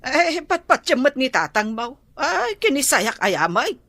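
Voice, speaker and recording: A generous village mother in a radio play who cannot say no to her neighbors. She speaks slowly and hesitantly, with a mid-pitched voice that lifts and falls a lot, and is heard close and dry. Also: very animated, no room sound, heavily acted. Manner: soft-spoken, hesitant and drawn-out. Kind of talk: radio drama acting.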